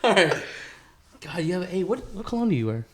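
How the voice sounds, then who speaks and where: A man's voice: sits high, big pitch swings, fairly quick, slight background noise. Casual male podcaster, slangy and profane. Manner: profane, slangy, peppered with "bro" and "dude". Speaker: podcast co-host.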